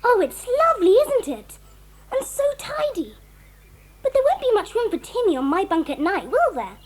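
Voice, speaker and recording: A woman's voice: extremely high pitch, big pitch swings, moderate pace. A woman playing an eager, strong-willed young tomboy in a children's audio drama, speaking emphatically. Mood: excited. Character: eager, strong-willed tomboy girl, devoted to her dog.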